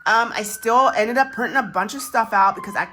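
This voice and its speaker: An American woman talks to her camera in a loose, rambling way, laughing at her own forgetfulness. Her voice sounds stuffy and congested from a chest cold, but its tone stays bright and forward. She sounds close to the phone mic in a casual home vlog. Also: a little high, brisk, a little hiss or hum. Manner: chatty, rambling, self-deprecating asides. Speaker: savings challenge vlogger. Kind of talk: casual chat to camera while cash stuffing.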